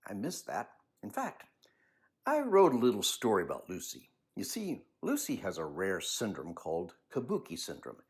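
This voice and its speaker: Older male storyteller, husky tone, hugely varied pitch, expressive and unhurried delivery. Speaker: children's book author reading aloud. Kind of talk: personal commentary and storybook reading.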